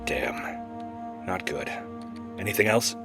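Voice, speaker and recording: A man playing a weary, gruff wildlife agent in an audio drama, speaking in a measured, serious way. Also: deep voice, animated, music in the background. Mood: grim.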